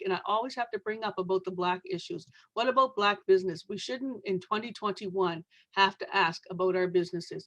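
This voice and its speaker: A woman's voice: mid-range voice, no room sound, low-quality recording. Canadian business owner lady, middle-aged, talks earnest and long, dry audio. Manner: earnest, speaks at length. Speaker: guest, small business owner. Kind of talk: podcast interview answers.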